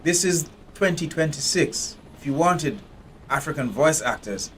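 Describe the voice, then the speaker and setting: A man's voice: on the high side, expressive, a little slow, a bit bright, slight background noise. A man from Jamaica, giving blunt, profane live commentary while streaming a game.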